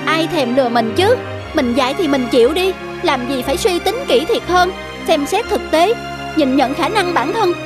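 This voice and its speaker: A woman's voice: sits high, lively intonation, moderate pace, music in the background. A woman playing a sensible, scolding village wife in a cartoon folk tale, with a reproachful, lecturing manner.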